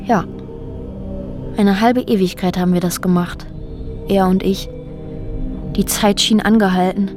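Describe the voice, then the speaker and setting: An actress plays a woman in a radio drama, quietly looking back on a disturbing experience. Her voice sits at a medium pitch, measured and matter-of-fact, with small hesitations. Music plays clearly behind her. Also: fairly even intonation, close to an everyday voice. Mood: absorbed, subdued. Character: woman quietly recounting a disturbing, strange ordeal. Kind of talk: radio drama acting, reflective monologue. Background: music under the voice.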